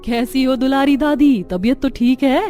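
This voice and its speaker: A spirited young village housewife, acted by a woman, speaks out in a raised, fairly high voice. It is chatty cartoon drama with music behind. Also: fairly even intonation, normal speed, mellow, close to an everyday voice. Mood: friendly. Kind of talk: Hindi cartoon story acting.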